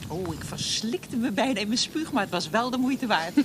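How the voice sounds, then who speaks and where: A woman's voice: fairly high pitch, lively intonation, normal speed, lightly acted. A woman acting in a Dutch radio play as the chatty, wistful wife of an interned soldier, in naturalistic dialogue. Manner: conversational, chatty, with small interjections. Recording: faintly noisy radio play recording.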